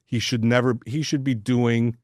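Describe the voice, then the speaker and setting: A man's voice: mid-range voice, level tone, normal speed, a little mellow, no room sound, clean studio sound. A man from Detroit with an American accent, co-hosting a casual sports talk show in a blunt, profane, opinionated ranting style. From Detroit, United States. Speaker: sports podcast co-host.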